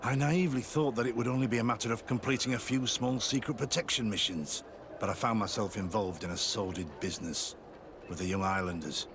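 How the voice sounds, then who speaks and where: A man playing a guarded, low-voiced army sergeant. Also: fairly quick, noisy background, natural voice. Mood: remorseful. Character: guilt-ridden, secretive male army sergeant turned informant. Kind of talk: video game cutscene acting.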